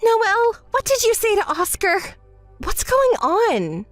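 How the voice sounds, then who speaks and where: Woman putting on a bratty greedy young woman, high whiny voice swinging all over, dry close mic, faint music under. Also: huge pitch swings, measured pace, no room sound, full character voice. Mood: shrieking. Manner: whiny, shrieking, self-pitying. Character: spoiled greedy young woman, shrill and demanding. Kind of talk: dramatized story voice acting.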